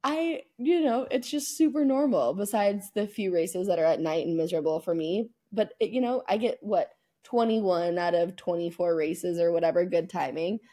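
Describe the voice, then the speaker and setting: A woman's voice: lowish voice, moderate pace, few pauses, dark tone. A young American woman co-hosting a podcast in casual chat, talking in a chatty, emphatic, slangy way. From United States. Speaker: podcast co-host.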